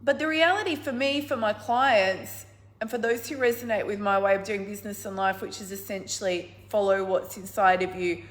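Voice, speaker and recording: A middle-aged woman business coach with a hoarse, husky, croaky voice, talking casually and emphatically to a live audience. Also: medium pitch, normal speed. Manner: enthusiastic, emphatic, swings from loud to quiet. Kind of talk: casual livestream talk.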